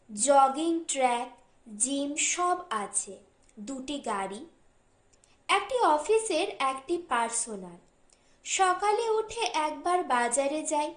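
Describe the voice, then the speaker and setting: A woman reads a short story as an audiobook narrator, in a measured, deliberate way with pauses between phrases. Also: sits high, echoey room.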